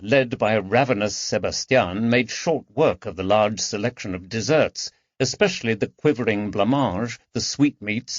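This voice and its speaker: A man's voice: mid-range voice, dry recording. A man reading scripted narration from a period mystery novel as an audiobook narrator. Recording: dull lo-fi recording.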